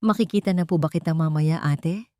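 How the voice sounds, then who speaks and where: A woman's voice: deep voice, brisk, a little mellow, no room sound, studio quality, natural voice. Woman voicing an eager, innocent little girl. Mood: eager, hopeful. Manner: hopeful, polite. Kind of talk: dramatic audio storybook.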